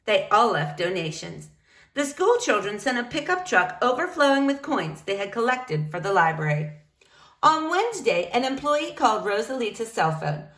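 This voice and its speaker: A woman reading a picture book aloud to young children in an expressive, animated way. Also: fairly high pitch, normal speed. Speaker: storybook reader.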